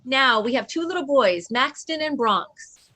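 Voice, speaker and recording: Lady with a slightly high voice reading a kids book out loud, teacher style emphasis. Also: animated, unhurried. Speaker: read-along co-reader. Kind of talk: children's picture book read aloud.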